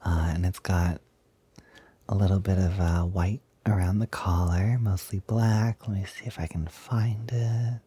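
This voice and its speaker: A man playing a gentle, enthusiastic young roommate in an intimate audio roleplay, talking softly, hesitantly and reassuringly with a breathy voice. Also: sits low, fairly even intonation, unhurried, exaggerated voice. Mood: helpful, thoughtful. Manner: soft, hesitant, giggly, reassuring. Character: gentle, enthusiastic young male roommate who loves frilly clothes.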